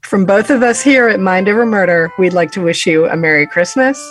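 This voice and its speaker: A woman podcast host presenting and reading holiday verse in an expressive storytelling style. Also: medium pitch, a little fast, soft background music. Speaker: teacher.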